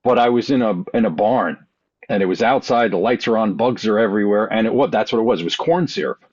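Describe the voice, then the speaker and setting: Low-voiced man with dull, lo-fi sound. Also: fast, darkish tone. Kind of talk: casual podcast chat.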